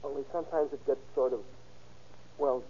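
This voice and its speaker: An actor playing a shy, eager young bookkeeper in an old radio drama, speaking haltingly and deferentially. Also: male voice, medium pitch, fairly even intonation, slightly rough, natural voice. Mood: wistful, hesitant. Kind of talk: 1930s radio drama acting. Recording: muffled old radio recording.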